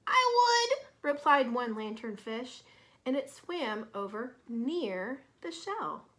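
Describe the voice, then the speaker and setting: Bright, very animated woman reading to children. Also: a little high, takes it slowly, poor audio. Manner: expressive, careful phrasing, explains to young children. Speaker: teacher reading a picture book to children. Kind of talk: children's storybook read-aloud with asides to listeners.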